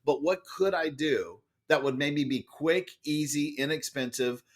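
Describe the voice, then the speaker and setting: A middle-aged man with a loud voice, an author and speaker telling a story as a podcast guest, animated and expressive. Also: a little slow. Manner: expressive, acts out quoted lines with big jumps in pitch.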